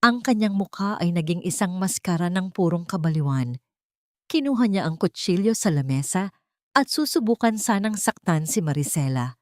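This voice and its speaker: Filipina narrator, even pitch, close dry sound. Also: female voice, moderate pace, no room sound. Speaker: story narrator. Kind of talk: dramatic scripted narration.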